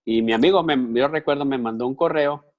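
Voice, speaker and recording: A man's voice: medium pitch, fast talker. A man working as a live interpreter for a conference speaker, talking conversationally with repeated words and restarts.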